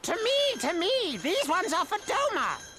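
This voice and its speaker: A woman's voice: extremely high pitch, very animated, measured pace, bright, forceful tone, a little hiss or hum, full character voice. A woman voicing a fawning, shifty smuggler in a game cutscene who repeats words obsequiously. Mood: sly. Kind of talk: video game cutscene acting.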